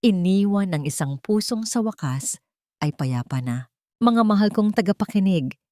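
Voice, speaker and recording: A woman with a Filipino accent narrating a scripted dramatic audiobook story in a solemn, measured, reflective way. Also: mid-range voice, animated, darkish tone, no echo, studio quality.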